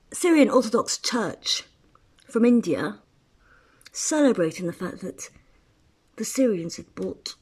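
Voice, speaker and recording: Husky-voiced woman rambling in a monologue. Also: medium pitch, darkish tone. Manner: rambling, with frequent restarts and asides. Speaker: writer. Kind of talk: casual personal monologue.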